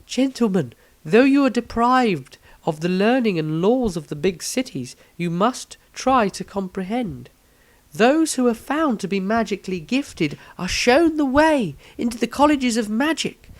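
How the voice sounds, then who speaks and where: Man voicing an earnest, high-voiced educated woman. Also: sing-song, unhurried, heavily acted. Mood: earnest appeal. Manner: formal, lecturing, pleading. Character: earnest educated woman reasoning with villagers, fantasy adventurer. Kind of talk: storybook character acting.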